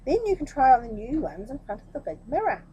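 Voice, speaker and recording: Lady doing sly crook tailor guy for a kids story, fawning sales patter, bit high, noisy recording. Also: very animated, fast talker, mellow. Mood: obsequious. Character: two sly flattering male swindlers posing as tailors.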